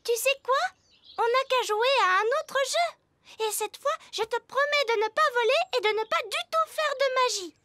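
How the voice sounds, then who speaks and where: Very high-pitched little fairy princess. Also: female voice, normal speed, very noisy. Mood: playful, sheepish. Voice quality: childlike. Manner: playful, eager. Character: sweet excitable little fairy princess girl. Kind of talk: French cartoon dub acting. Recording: music playing behind the voice.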